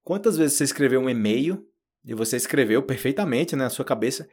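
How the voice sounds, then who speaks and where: A Brazilian man with a Brazilian Portuguese accent, giving a relaxed, chatty podcast lesson and addressing learners directly. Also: mid-range voice, a little fast, slightly rough. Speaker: Portuguese teacher. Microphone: solo audio recording.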